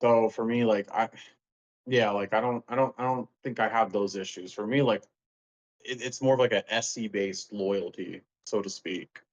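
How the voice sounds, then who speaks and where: A man's voice: on the low side, fairly even intonation, moderate pace. A man chatting casually in a rambling, slangy way over a dull, lo-fi voice call. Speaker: guest being personality-typed. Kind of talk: casual chat.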